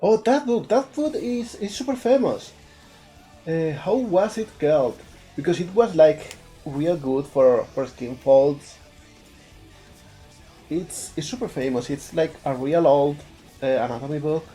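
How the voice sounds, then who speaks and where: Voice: mid-range voice, measured pace, warm tone, soft background music. A speaker from Spain with an Andalusian accent and Spanish as a first language, chatting casually on a live stream while working and thinking aloud, often repeating words. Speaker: game developer streaming his art.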